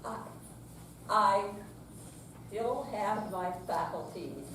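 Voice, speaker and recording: An older woman playing a stubborn, feisty elderly widowed mother in a staged reading, with halting, emphatic theatrical delivery. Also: medium pitch, lively intonation, a bit bright. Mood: outraged, defiant. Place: senior center room, staged live reading. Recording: very echoey hall, distant mic, hissy noise.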